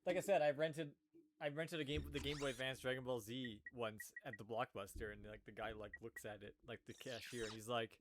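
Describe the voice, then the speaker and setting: A man's voice: medium pitch, steady tone, fairly quick, noisy background. A man from Canada chatting casually on a stream, rambling with many fillers. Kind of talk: casual chat. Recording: loud game music behind.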